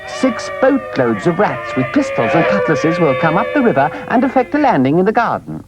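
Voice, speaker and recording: Man doing a high, gleeful little mole. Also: very animated, normal speed, loud music behind the voice. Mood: storytelling. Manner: gleeful, storytelling. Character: small cheeky gleeful male mole.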